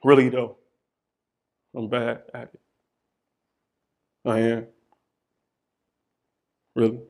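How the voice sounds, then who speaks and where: A young man performing his poetry aloud, chanting repeated phrases with sweeping swings from hushed murmurs to shouts. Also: medium pitch, little pitch movement, very slow. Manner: rhythmic, incantatory delivery with chanted repetitions. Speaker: poet.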